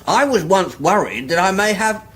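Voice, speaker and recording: A man's voice: sits high, lively intonation, moderate pace, fairly bright tone. A man playing a greedy, self-deluded little dwarf messenger in a comic fantasy radio drama, chatty, boastful and cheeky. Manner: cheeky, matter-of-fact, boastful.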